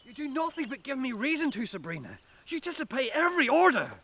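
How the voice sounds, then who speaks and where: Man acting a gravelly, stern Northern Irish headmaster. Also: very high voice, very animated, quick pace, pushed and bright, exaggerated voice. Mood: stern scolding. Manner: authoritarian, scolding, commanding. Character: stern, protective headmaster guarding his school after apocalypse. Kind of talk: audio drama acting.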